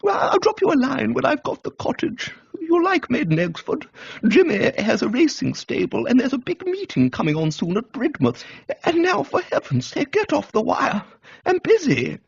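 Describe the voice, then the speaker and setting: Breezy English gentlewoman aunt, played by a man, high swooping voice, bossy and teasing, for comic radio. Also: huge pitch swings, moderate pace, gravelly, breathy edge, no echo, highs cut off, full character voice. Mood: brisk teasing.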